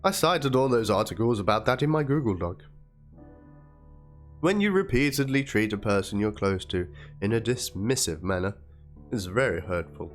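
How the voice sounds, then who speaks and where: Guy w a lowish voice doing a theatrical dramatic read of chat msgs, bit of music behind him. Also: expressive, normal speed. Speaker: narrator reading aloud. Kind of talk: dramatic reading of a written chat log and document. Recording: music under the voice. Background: instrumental background music.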